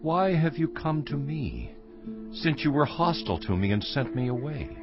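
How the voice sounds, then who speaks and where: A man playing a mild, earnest Hebrew patriarch in a dramatized scripture reading, speaking deliberately. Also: on the high side, big pitch swings. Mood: wary. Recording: dull recording under prominent music.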